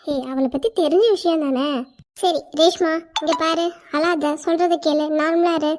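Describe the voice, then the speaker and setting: Woman doing a protective bossy girlfriend, high soft voice, calms her friend down. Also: normal speed. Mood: soothing. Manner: soothing, firm.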